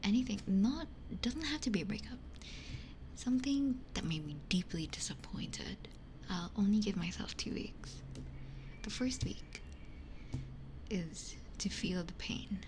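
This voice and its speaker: A woman streamer whispering in a breathy, conversational ASMR style, talking reflectively close to a binaural microphone. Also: mid-range voice, animated, unhurried, a little mellow, a little hiss or hum. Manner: reflective, conversational, repeats phrases for emphasis. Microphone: close binaural ear microphone.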